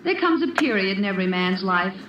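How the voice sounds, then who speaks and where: A woman's voice: mid-range voice, big pitch swings, a little fast, distant mic, close to an everyday voice. An actress playing a catty, smug young woman in an old-time radio drama, with sugary, mocking condescension. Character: catty, smug young woman taunting her former friend. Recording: muffled, noisy, roomy old radio recording.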